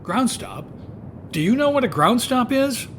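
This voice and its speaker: A man's voice: extremely high pitch, very animated, unhurried, a bit bright, a little hiss or hum, exaggerated voice. A man playing an inquisitive, skeptical undercover reporter in a radio drama, wry and a bit hesitant. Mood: uneasy, sarcastic.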